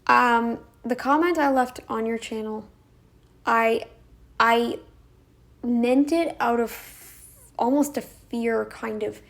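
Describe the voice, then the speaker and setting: Slow, gravelly-voiced young woman vlogger. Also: fairly high pitch. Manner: rambling, halting with restarts. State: croaky voice. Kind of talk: casual talking-head vlog.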